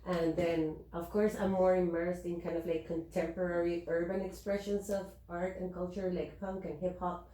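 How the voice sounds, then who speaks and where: A woman from Chile speaking at a panel discussion, thoughtful and halting with many fillers and restarts. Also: on the low side, flat delivery, dark tone, slight background noise. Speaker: panelist, activist and educator. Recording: echoey room.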